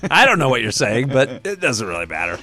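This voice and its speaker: A man's voice: medium pitch, big pitch swings, fairly quick, over loud music. A man hosting a podcast in a casual, bantering, joking style. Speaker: podcast host. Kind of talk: casual chat.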